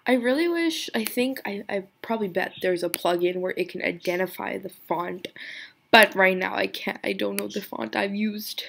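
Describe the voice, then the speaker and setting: A young woman giving a casual screen-recorded software tutorial, chatty and giggly, thinking aloud with a voice lower than usual today. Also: moderate pace, vocal fry. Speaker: tutorial creator.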